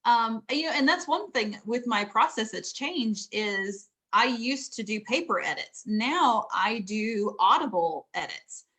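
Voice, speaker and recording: A middle-aged woman, a fantasy author, chatting casually about writing, laughing often and filling pauses with ums. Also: fairly high pitch, expressive, a bit bright.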